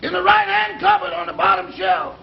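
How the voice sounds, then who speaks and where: An actor playing an unhelpful, cheeky valet in a 1950s sitcom, with a gravelly voice and deadpan, unhurried comebacks. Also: male voice, very high-pitched, exaggerated voice. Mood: dry, unbothered. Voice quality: gravelly, raspy. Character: unhelpful, cheeky male valet on his day off. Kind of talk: 1950s sitcom acting before an audience. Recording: muffled, noisy 1950s recording with studio audience.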